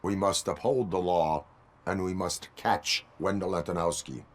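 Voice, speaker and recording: Very deep, deadpan cyborg cop. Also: male voice, a little slow. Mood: flat, resolute. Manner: deadpan, formal, clipped. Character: stoic cyborg police officer, male, law-bound and formal. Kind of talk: video game cutscene acting.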